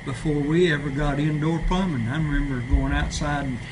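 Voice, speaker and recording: A man's voice: fairly high pitch, steady tone, a little fast. A man in his sixties with an East Tennessee accent, telling childhood stories in an easygoing, chuckling way in a small library room. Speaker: storyteller. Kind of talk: casual reminiscing. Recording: noisy room recording.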